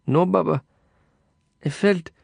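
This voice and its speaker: A man doing a small, good-hearted Indian taxi driver in an audiobook, speaking Indian English with a sing-song, childlike earnestness. The voice sits high and bright above the narrator's, recorded close and dry with no room sound. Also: takes it slowly, vocal fry, no echo, heavily acted. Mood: earnest pleading.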